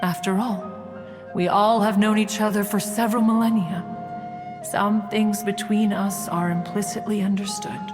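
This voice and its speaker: A woman's voice: mid-range voice, steady tone, normal speed, lightly acted. An actress playing a haughty, reserved immortal woman in a video game, speaking with pompous formality. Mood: earnest. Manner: pompous, formal, refers to self as one. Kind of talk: scripted game dialogue. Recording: long reverb, faint music. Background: faint game music.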